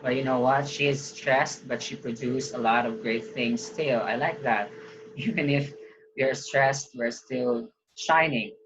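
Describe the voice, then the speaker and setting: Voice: medium pitch, measured pace, heavy background noise. A host casually reacting to a video and talking to viewers in a chatty, upbeat way.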